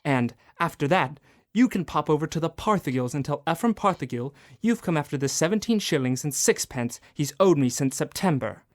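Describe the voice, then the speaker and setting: An actor playing a bitter, miserly old moneylender in a student radio drama, snarling and barking scornful orders. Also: male voice, fairly high pitch, animated, brisk, close to an everyday voice. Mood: cold.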